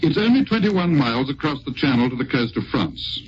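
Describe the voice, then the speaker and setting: On a dull, faintly noisy vintage radio recording, a British man presents a wartime broadcast. His voice sits at a middling pitch. He reads scripted narration in a measured, formal way. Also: sing-song. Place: radio studio.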